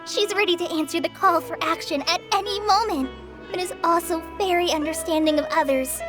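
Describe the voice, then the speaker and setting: Game role: kind teenage ranger girl, extremely high voice, bashful and apologetic, sincere delivery. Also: fairly even intonation, a little fast. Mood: earnest, bashful. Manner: earnest, apologetic, polite. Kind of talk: video game dialogue acting. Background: game music.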